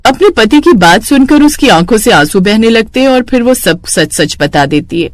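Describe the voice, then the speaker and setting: A woman reading scripted narration for an animated moral story. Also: fairly high pitch, moderate pace, no echo.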